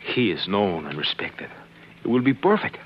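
Deep older sidekick, earnest and loyal, muffled old radio adventure show. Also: male voice, big pitch swings, moderate pace, a little hiss or hum. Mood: devoted, reassuring. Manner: deferential, earnest, speaking in simple broken English. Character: loyal older Mexican sidekick, once his master's companion. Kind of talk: 1950s radio adventure acting.

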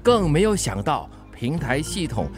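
A man's voice: on the high side, sing-song, a little slow, soft background music. A man with a Singaporean accent playing a chatty, good-natured older delivery driver in a radio drama, full of sighs and exclamations. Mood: cheerful, chatty. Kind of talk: Mandarin radio drama acting.

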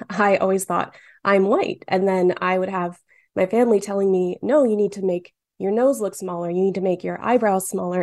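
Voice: mid-range voice, darkish tone, dry recording, clean studio sound. A cartoonist in their late twenties from Michigan, answering interview questions in a chatty way with lots of fillers. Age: late 20s. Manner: chatty, many fillers, laughs often. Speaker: guest cartoonist.

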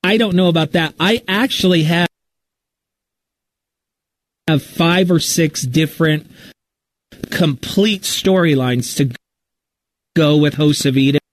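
A man's voice: a little high, takes it slowly, pauses often, dry recording. A man answering questions as a podcast storyteller in a casual live interview, candid and reflective as he thinks aloud. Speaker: writer and storyteller of a fiction podcast, being interviewed.

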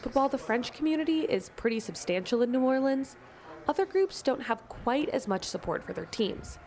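A woman's voice: sits high, big pitch swings, brisk, a little mellow, a little hiss or hum. A woman reporter reading scripted radio feature narration in a mid-range voice.

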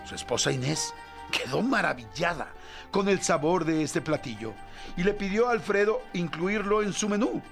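Joking Mexican radio host, fairly high voice. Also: male voice, expressive, moderate pace, creaky, faint music behind. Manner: rambling and joking, with playful asides. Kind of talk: casual morning radio chat.

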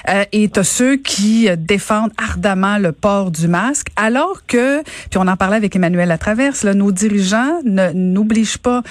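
A woman's voice: medium pitch, normal speed, few pauses, dry recording. Quebec French woman hosting a radio interview. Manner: conversational, probing, informal asides. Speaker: radio host. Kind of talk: news interview.

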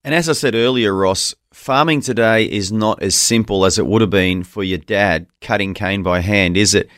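A man's voice: on the low side, normal speed, fairly bright tone, no echo, studio quality. An Australian man working as a radio interview host, speaking in an affirming, conversational way that prompts his guest.

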